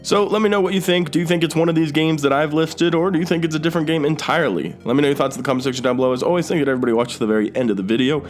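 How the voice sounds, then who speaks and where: A man's voice: a little high, racing, hardly any pauses. A man hosting a YouTube news commentary, speaking emphatically and directly to viewers. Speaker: YouTube host. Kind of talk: scripted-sounding movie and gaming news commentary to camera. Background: background music.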